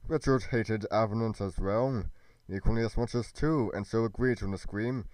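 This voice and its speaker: A man playing an earnest adventurer in a fantasy roleplay drama, speaking in deliberate, halting, storybook phrases. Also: fairly low pitch, a little mellow, dry recording, lightly acted. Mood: grave, explanatory. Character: earnest male adventurer musing over royal secrets. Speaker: voice actor in a fan-made Minecraft roleplay. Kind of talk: scripted dramatic roleplay dialogue.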